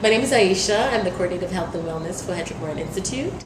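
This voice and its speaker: A woman's voice: on the low side, animated, rapid-fire. A woman working as a health and wellness coordinator, explaining things in an encouraging way during an interview. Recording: echoey room with clear background noise.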